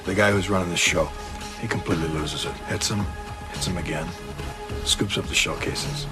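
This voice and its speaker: A man's voice: low-pitched, brisk, lightly acted. An actor playing a terse, dry, hard-boiled male police detective in an audio drama. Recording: prominent music underneath.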